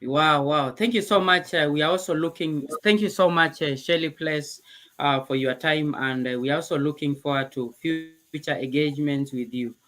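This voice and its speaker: A man from Kenya with a Kenyan English accent, Kikamba his first language, hosting a video call talk show with enthusiastic praise and halting fillers. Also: on the high side, pushed and bright.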